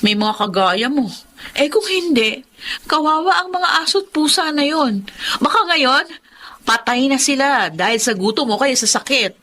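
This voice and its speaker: A woman's voice: sits high, animated, normal speed, pushed and bright, exaggerated voice. A woman playing a teasing, exclamatory young best friend in a Filipino TV drama. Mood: admiring.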